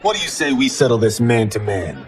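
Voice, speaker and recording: Man playing cocky, animated young farmer. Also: medium pitch, brisk, a faint crowd, close to an everyday voice. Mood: cocky teasing. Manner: brash, spirited, teasing. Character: cocky, restless young farmer craving adventure and glory. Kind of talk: video game cutscene acting.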